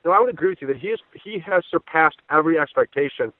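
A man's voice: on the high side, lively intonation, racing, dry recording. A man giving emphatic, conversational sports analysis as an opinionated guest on a podcast. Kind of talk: sports podcast interview commentary. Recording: dry, phone-like narrow band.

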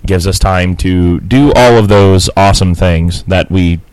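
A man's voice: low-pitched, a little slow, a little mellow, clear, resonant tone, dry recording, low-quality recording. A man with a nasal voice co-hosting a casual podcast, rambling with restarts and laughing easily into a mixer-board mic. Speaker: podcast co-host. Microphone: podcast mic through a mixer board.

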